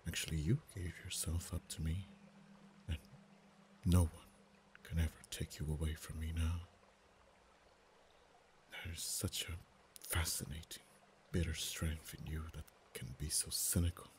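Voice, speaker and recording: A man voicing a proud, haughty male dragon in an ASMR romance roleplay, speaking softly with whispered and stammering stretches. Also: a bass voice, a little hiss or hum. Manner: soft-spoken, partly whispered, halting stammers.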